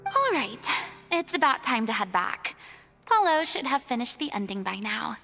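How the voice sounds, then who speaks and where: A guarded young former idol in an anime game. Her high voice has a creaky edge and an earnest, theatrical delivery, with music underneath. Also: big pitch swings, normal speed. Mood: relieved, reflective. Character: Furina, guarded, dramatic young woman, former stage idol. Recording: music behind the voice.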